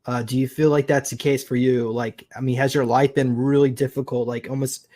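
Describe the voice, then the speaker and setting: A man's voice: mid-range voice, flat delivery, a little fast, dark tone. A man conducting a casual, conversational interview.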